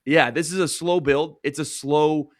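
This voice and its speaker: A man's voice: a little high, fairly even intonation, measured pace, dry recording, clean studio sound. A young man, a sports broadcaster, giving earnest, conversational answers as a guest in a casual interview. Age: young adult.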